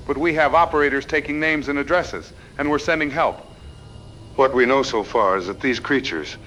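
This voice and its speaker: An earnest town official acted by a man gives emergency instructions in an audio drama. He is formal, orderly and grave, with a medium-pitched voice. Faint noise sits behind him. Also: fairly bright tone, lightly acted, poor audio.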